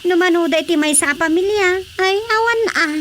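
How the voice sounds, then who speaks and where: A high-voiced woman playing a scolding village wife in a radio comedy drama. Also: normal speed, a bit bright, slight background noise. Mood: grumbling. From Philippines.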